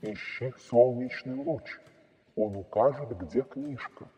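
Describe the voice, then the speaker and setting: A man's voice: medium pitch, slow pace, mellow, breathy edge. A man playing a kindly, enigmatic old magical storyteller in a children's fairy-tale podcast. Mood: mysterious. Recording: dull sound, long reverb, faint noise.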